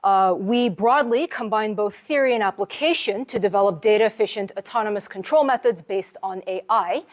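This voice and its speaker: A woman lecturing at a university seminar, explaining research with a relaxed, conversational delivery. Her voice sits at a middle pitch, and she often pauses on small fillers as she walks the audience through her slides. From United States. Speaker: professor giving a seminar.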